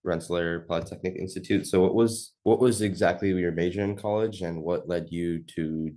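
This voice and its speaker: A man hosting a podcast who reads prepared interview questions quickly and drops in casual "like" fillers. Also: low-pitched, fairly even intonation, mellow.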